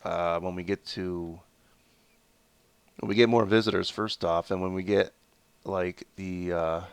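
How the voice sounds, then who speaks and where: Man, nasal, stuffy head cold, low voice, dry close mic, rambling gameplay commentary. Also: fairly even intonation, unhurried, vocal fry, smooth, no echo. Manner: rambling, with many fillers and self-corrections. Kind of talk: casual let's play commentary.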